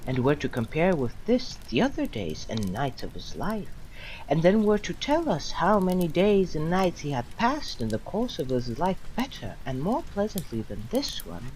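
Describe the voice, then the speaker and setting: A woman playing a condemned old philosopher, defiant and serene, delivering a solemn, deliberate theatrical monologue to judges with long pauses. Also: low-pitched, big pitch swings, poor audio. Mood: calm, philosophical. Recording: amateur recording with loud background noise.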